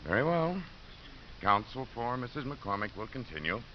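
An actor playing a dryly authoritative, exasperated judge in a 1950s radio drama, formal and wry. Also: male voice, medium pitch, very animated, normal speed, lightly acted. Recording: dull old radio recording, clear hiss.

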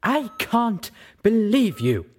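A man's voice: high voice, lively intonation, normal speed, warm tone, slightly rough, pitched above the performer's own voice. A man voicing a stern but caring adult female pony who scolds in a clipped, cross way.

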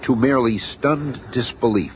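A man's voice: medium pitch, moderate pace, a little hiss or hum, lightly acted. A man narrating a superhero radio serial in a scripted, dramatic storytelling style. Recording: muffled old radio recording.

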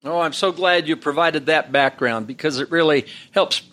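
A man's voice: a little high, moderate pace, pushed and bright. A man hosting an interview, asking questions in an effusive, enthusiastic way. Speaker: host. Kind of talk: interview host.

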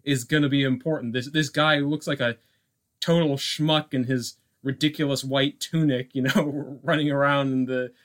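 A male podcast co-host in a casual film discussion. He thinks aloud, circling back and adding asides as he goes. His voice sits at an ordinary middle pitch for a man. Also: steady tone, normal speed.

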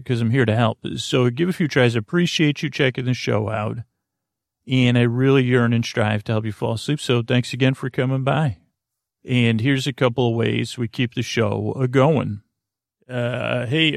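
A man hosting a bedtime podcast in a creaky, lulling voice, halting and stammering through meandering, goofy tangents. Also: mid-range voice, dry recording, clean studio sound. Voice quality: creaky, drawling. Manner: lulling, soothing. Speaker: host of a bedtime sleep podcast. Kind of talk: rambling, meandering bedtime monologue.

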